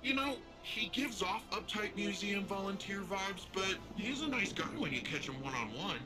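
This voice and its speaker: An exuberant young cybernetic warrior from a video game, on the high side and very lively in pitch. He talks in excitable slang, playful and openly admiring, with music underneath. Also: moderate pace. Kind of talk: casual video game character dialogue.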